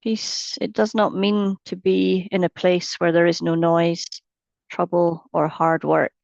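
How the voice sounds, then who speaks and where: A woman leading a guided meditation as a mindfulness teacher, gently and without hurry, leaving long pauses between short phrases. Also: on the low side, little pitch movement, vocal fry, no room sound, muffled recording.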